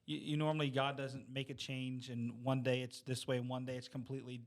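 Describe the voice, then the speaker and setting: A man's voice: medium pitch, level tone, fairly quick. A middle-aged man in a casual faith discussion, speaking in an earnest, plain-spoken way and repeating points for emphasis.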